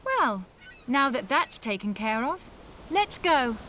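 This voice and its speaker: A woman playing a feisty, sharp-tongued young mage in a video game, confident, sassy and direct. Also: sits high, big pitch swings, takes it slowly, slight background noise, full character voice. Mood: curious, breezy. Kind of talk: animated video game voice acting.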